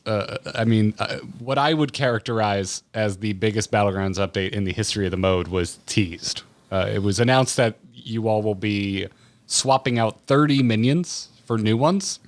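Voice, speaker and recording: Male podcast interviewer, lowish voice, casual, eager, wordy. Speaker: podcast host.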